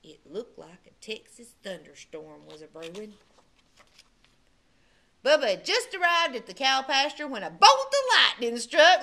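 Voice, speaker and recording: Animated woman reading a storybook. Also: high voice. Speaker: children's librarian. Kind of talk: storybook read-aloud.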